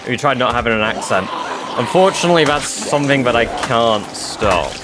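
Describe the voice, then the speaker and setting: A man's voice: medium pitch, expressive, fairly quick, music in the background. A man with an English accent chatting loosely with viewers on a live stream, full of fillers and casual swearing. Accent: English, mixed with northern English touches. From England.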